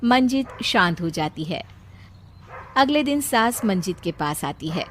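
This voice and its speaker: A woman's voice: mid-range voice, animated, unhurried, fairly bright tone, slight background noise. A woman reading scripted narration for a cartoon story.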